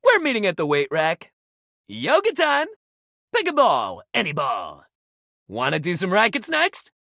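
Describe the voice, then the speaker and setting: An actor playing a sports-obsessed, warm-hearted young jock pal in a video game, with exuberant, slangy bro talk. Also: male voice, high voice, wildly swooping pitch, measured pace, vocal fry, no echo, studio quality, full character voice. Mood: peppy. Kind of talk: video game character acting.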